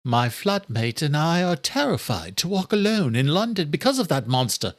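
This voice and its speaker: A man's voice: a little high, big pitch swings, normal speed, no echo, studio quality, voice pushed up. A man voicing a polite, frightened young working woman in an audiobook thriller. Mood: timid, grateful.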